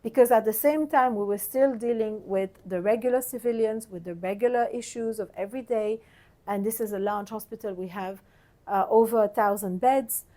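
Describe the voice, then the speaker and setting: A woman's voice: medium pitch, normal speed, mellow. A woman who is a hospital guest relations director, explaining how the hospital works, with some restarts. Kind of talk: interview explanation.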